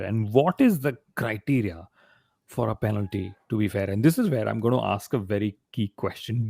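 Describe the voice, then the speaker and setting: A man's voice: on the low side, gravelly, dark tone, dry recording, studio quality. A man hosting a motorsport panel discussion, explaining points and putting questions to his co-hosts. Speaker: podcast host.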